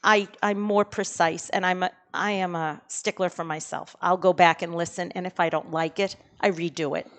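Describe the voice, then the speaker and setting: A woman's voice: on the low side, fairly even intonation, moderate pace, few pauses, a bit bright. A woman with a Boston accent, an audiobook narrator explaining her recording and editing work in a casual podcast chat.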